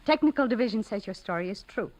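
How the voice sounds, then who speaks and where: A woman's voice: on the high side, lively intonation. A woman acting in a 1950s radio drama, playing a matter-of-fact, skeptical army lieutenant of the future. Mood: formal. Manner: brisk, military, matter-of-fact. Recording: noisy old radio recording.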